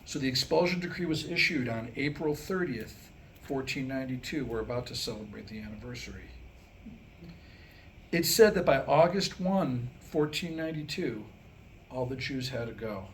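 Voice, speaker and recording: A man's voice: mid-range voice, animated, normal speed, a little hiss or hum, poor audio. A man giving a staged reading of his own script, mixing comic monologue with history storytelling in a wry, rhetorical way. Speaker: writer reading a comedic script aloud. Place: indoor staged reading with a few listeners reacting.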